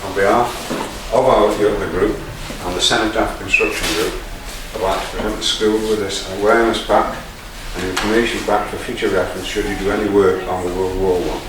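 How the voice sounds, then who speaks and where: A man's voice: fairly low pitch, steady tone, brisk, hardly any pauses. A man giving a formal presentation speech on behalf of a community group. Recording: echoey room, distant mic, background noise.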